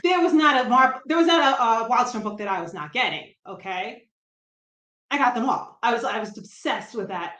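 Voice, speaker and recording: A woman's voice: on the high side, animated, moderate pace, sharp tone. Chatty woman with a light Brooklyn accent. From New Jersey. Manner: chatty, self-deprecating, laughs often. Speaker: guest comic writer. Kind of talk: casual interview chat. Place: room at home. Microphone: video call. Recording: roomy echo on a video call.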